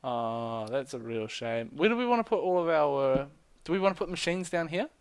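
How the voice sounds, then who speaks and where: A young man chatting casually while playing a game with a friend, reacting quickly. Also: fairly high pitch, lively intonation, clear, resonant tone, no echo. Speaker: gamer. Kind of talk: casual let's play chat.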